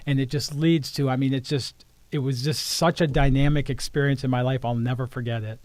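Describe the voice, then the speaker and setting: A man's voice: mid-range voice, steady tone, a little fast, a little mellow, dry recording. Earnest man coach with a dry sound. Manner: earnest, repeats words when starting sentences. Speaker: life coach, interview guest. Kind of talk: casual interview conversation.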